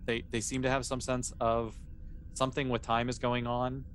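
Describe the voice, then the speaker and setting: A man narrating a tabletop sci-fi game as game master, haltingly, often restating his phrases. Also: mid-range voice, monotone, a little hiss or hum.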